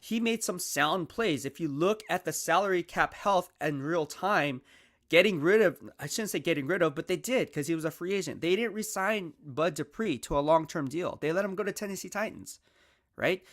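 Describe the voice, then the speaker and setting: A man's voice: on the high side, normal speed. A man hosting his own football analysis show, talking casually and energetically, often checking in with "right?", while losing his voice. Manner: energetic, conversational, peppered with "right?" and "all right?". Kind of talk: casual sports commentary monologue.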